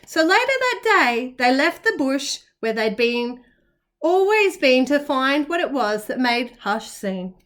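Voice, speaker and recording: Very bright, high-voiced woman reading a storybook. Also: a little slow. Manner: expressive, with playful asides to young listeners. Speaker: children's story reader, kindergarten teacher. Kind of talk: animated picture-book reading for young children.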